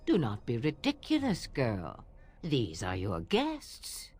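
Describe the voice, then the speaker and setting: A woman's voice: very deep voice, huge pitch swings, slow pace, darkish tone, husky, faint music behind, full character voice. Cryptic old witch. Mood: sly. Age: older. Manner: teasing, riddling, lilting. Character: cryptic old swamp witch, mocking and sly.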